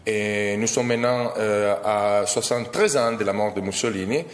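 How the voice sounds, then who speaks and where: A man's voice: fairly low pitch, normal speed, echoey room, long reverb tail. An Italian man with an Italian accent, a guest actor giving an interview, hesitating often as he searches for his French words. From Italy.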